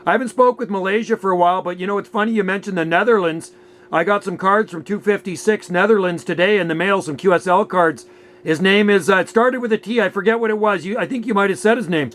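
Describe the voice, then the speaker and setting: A man's voice: sits high, fast talker, a bit bright. A man chatting casually and easily as a radio operator making a contact, sometimes repeating himself. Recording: faint background noise and hum.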